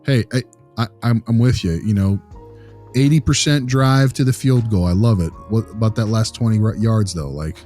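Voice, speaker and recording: Man playing a probing, low-voiced behavior consultant. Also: moderate pace, darkish tone, faint music behind, close to an everyday voice. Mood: probing, informal. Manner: probing, colloquial, repeats himself. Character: inquisitive, informal male behavior consultant probing a case. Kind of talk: improvised role-play conversation.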